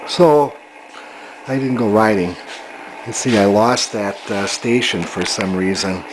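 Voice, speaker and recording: A man with a head cold chats casually as he demonstrates a hobby repair project, now and then clearing his throat. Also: fairly low pitch, slow. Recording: very noisy, radio static in room.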